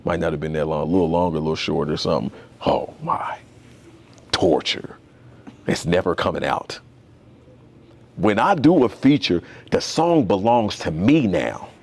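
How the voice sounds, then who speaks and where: A man's voice: low voice, lively intonation, normal speed, gravelly, a little mellow. A young man, a tattoo artist, talking as a podcast guest in a loose, slangy, storytelling way. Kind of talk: casual conversation.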